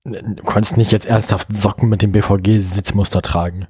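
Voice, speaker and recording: Male podcast co-host, husky edge, chatty with ironic quick comebacks. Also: on the low side, fairly even intonation, a little mellow. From not from Berlin. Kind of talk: casual news chat.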